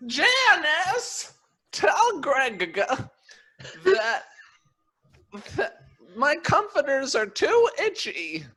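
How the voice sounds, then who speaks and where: Man voicing a high, whiny elderly mother, lively pitch. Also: very slow, pauses often, exaggerated voice. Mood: petulant. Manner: shrill calling, petulant. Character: cranky, demanding old mother-in-law.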